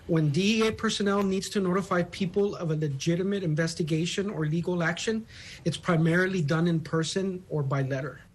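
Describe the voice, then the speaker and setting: A man's voice: sits high, fairly quick, slightly rough, low-quality recording. A man speaking as a public information officer, explaining things as a guest in a news interview. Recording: phone interview.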